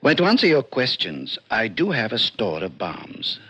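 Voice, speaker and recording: An actor in an old radio drama playing a polite, serious alien visitor who speaks in a measured, precise, mildly condescending way. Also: male voice, on the low side, wildly swooping pitch, fairly bright tone, slightly rough, no room sound, full character voice. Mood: calm, matter-of-fact. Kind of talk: 1940s-50s radio drama acting. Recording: muffled vintage radio recording, faint music.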